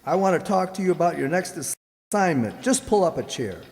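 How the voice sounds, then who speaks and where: An actor playing a brusque, avuncular newspaper boss in a radio serial. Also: male voice, sits high, very animated, fairly quick, darkish tone. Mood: hearty. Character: brusque but approving male newspaper editor. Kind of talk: old-time radio serial acting. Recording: echoey hall.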